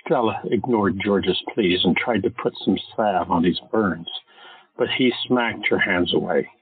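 Husky low-voiced male narrator. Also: measured pace, no room sound. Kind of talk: audio drama narration. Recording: tinny phone-like sound, faint music.

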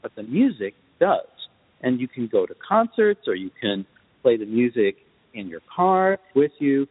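A man answering interview questions as a guest, speaking in a measured, thoughtful way in short deliberate phrases. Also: mid-range voice, sing-song, breathy edge. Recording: muffled phone-like line with reverb tail.

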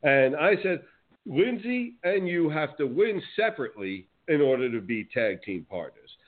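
A man's voice: a little high, moderate pace. A man from New Jersey with a New Jersey accent, hosting a wrestling podcast in a brash, outspoken way. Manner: brash, outspoken, animated storytelling. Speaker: podcast host. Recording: phone-like narrow audio.

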